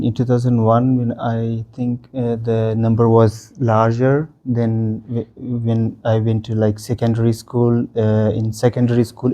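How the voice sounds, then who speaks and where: A man's voice: medium pitch, warm tone. A man in his thirties from Afghanistan, whose first language is Pashto, answering interview questions in a hesitant way with many fillers and restarts. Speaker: interview guest.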